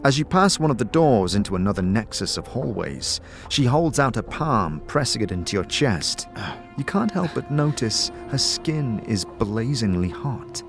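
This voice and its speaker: A man's voice: mid-range voice, big pitch swings, moderate pace, music in the background. A man narrating a fantasy audio drama in the second person, in a descriptive, dramatic storytelling manner.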